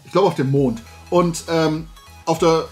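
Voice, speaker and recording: A man's voice: fairly high pitch, fairly even intonation, unhurried, a little mellow, soft background music. A middle-aged man chatting casually and colloquially as the host of a hobby video, rambling along in a loose, talkative way. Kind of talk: casual chat, product tasting and recommendations. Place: room at home lined with shelves of toy figures.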